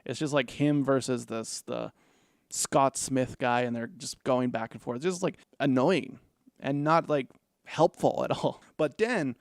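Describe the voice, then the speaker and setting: A man talks through a documentary review in a loose, rambling way, swearing often and leaning on "like" as filler. His voice is smooth and clean, in a mid register, and the room around him sounds dry and close. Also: medium pitch, a little slow, no room sound. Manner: rambling, profane, laid-back with frequent "like" fillers. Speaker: film reviewer, host. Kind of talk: casual spoken film review.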